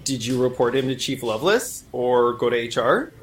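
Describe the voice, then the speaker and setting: An actress playing a sardonic, gossipy police desk officer in an audio drama, with a dry, teasing manner. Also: female voice, very deep voice, expressive, normal speed. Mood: curious. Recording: roomy echo.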